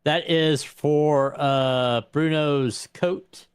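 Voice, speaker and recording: A man casually narrating a tabletop game in a halting, informal way. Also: on the high side, steady tone, clear, resonant tone.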